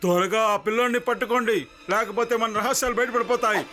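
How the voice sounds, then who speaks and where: Casting: greedy, scheming cartoon businessman villain, high voice, bossy bluster, music behind. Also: fairly even intonation, fast, pushed and bright. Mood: threatening. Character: scheming, greedy male phone company villain. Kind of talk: Telugu cartoon dub acting.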